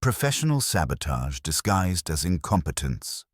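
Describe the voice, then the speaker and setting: Man voicing a deep, mild, wry police inspector. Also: big pitch swings, moderate pace, no room sound, clean studio sound. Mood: dry, mild. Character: mild, dryly witty male police inspector. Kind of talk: storybook mystery dialogue.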